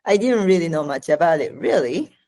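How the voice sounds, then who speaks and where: A middle-aged Japanese woman with a Japanese accent, answering interview questions in a slow, halting way with many fillers. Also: on the low side, expressive, dark tone. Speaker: guest.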